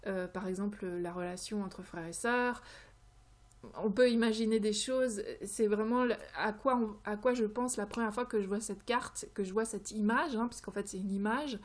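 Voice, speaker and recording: Woman doing a casual craft vlog, medium pitch, kinda hesitant, feels her way thru sentences. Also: fairly even intonation. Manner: hesitant, halting, searching for words. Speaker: creativity vlogger presenting tarot card ideas. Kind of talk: informal tutorial talk, unscripted.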